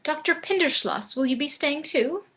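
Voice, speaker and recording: A languid, macabre lady of the house, as a woman acts her out in a table read. Her voice sits fairly high. She is doting and theatrical, drawing her phrases out. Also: normal speed, a bit bright. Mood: gracious. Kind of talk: film script table read.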